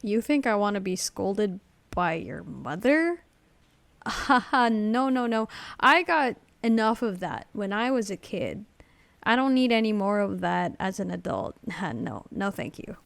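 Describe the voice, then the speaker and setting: A woman's voice: mid-range voice, big pitch swings, vocal fry, no room sound. Laid-back, encouraging aunt played by a woman, close dry sound. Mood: teasing. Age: adult. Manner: laid back, drawn-out emphasis on words. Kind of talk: casual animated-comic dialogue.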